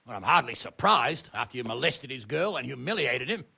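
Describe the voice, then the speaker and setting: An actor playing a stern, exasperated noble uncle in a medieval video game, blustering, sarcastic and blunt. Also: male voice, mid-range voice, animated, brisk, gravelly, pushed and bright, breathy edge, full character voice. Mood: angry scolding. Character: stern, exasperated noble uncle scolding his wayward ward. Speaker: medieval lord and guardian.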